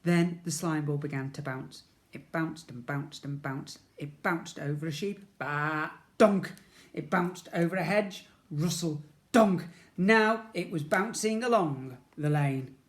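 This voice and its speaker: A man reads a storybook aloud for young children. His delivery is expressive and playful, with dramatic emphasis, repeated words and spoken sound effects. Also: a little high, slow. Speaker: primary school teacher reading to children.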